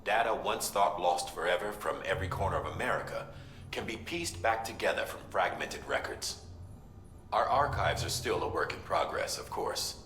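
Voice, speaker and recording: A considerate game briefer with a very deep, bright-edged voice. He explains things conversationally in an echoey room. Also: lively intonation, normal speed, a little hiss or hum. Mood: considerate, informative. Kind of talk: scripted game dialogue.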